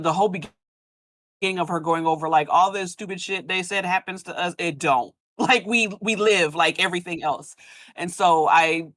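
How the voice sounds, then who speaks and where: A woman talks casually as a podcast guest, informal and enthusiastic. Her voice sits slightly low and her phrasing is slangy. The sound is dry, with no room echo. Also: a bit bright. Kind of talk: casual chat.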